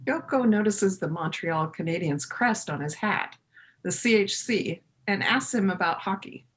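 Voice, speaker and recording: A woman reading as the reflective first-person narrator of a readers theater piece, in measured short phrases. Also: medium pitch, expressive, lightly acted.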